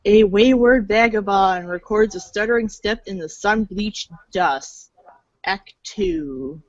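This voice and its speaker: A woman's voice: mid-range voice, slow pace, no room sound. A woman narrating a read-along storybook in a fan readers' theater. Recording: dull lo-fi audio.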